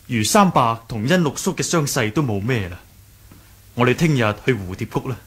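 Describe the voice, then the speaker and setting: An actor playing an earnest, modest young martial sect leader in a radio drama, measured and courteous, with a Hong Kong Cantonese accent. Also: male voice, mid-range voice, lively intonation, breathy edge. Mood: gentle, persuasive. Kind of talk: 1980s radio drama acting.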